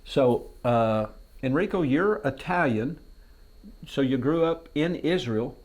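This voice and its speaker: A man's voice: mid-range voice, lively intonation, takes it slowly, a little mellow. A man hosting a TV talk show, asking guests questions in a folksy, joking way. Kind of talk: casual TV interview. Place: television studio.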